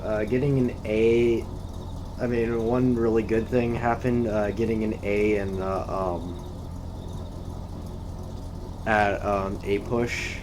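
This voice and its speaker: A teenage boy co-hosting a casual chat, hesitant with dry deadpan quips. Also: fairly low pitch, measured pace. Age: teens. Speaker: co-host. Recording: noisy background.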